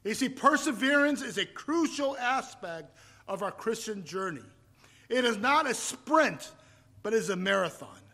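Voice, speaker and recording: A man in his fifties, from Taiwan, preaching a sermon and telling his own story, rising to loud emphatic points and falling back to quiet, reflective storytelling. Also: sits high, expressive, unhurried. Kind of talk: sermon with personal testimony.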